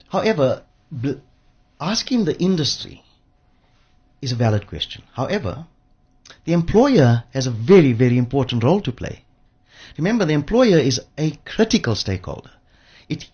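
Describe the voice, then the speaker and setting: A man speaking as an expert radio guest, emphatic and repeating phrases for effect, with a husky voice. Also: medium pitch, darkish tone. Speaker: interview guest, pensions industry expert. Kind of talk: radio interview. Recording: dull lo-fi radio audio.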